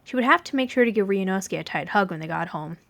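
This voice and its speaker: A woman reading fan fiction aloud as an expressive narrator. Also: mid-range voice, fast, gravelly. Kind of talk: expressive scripted story narration.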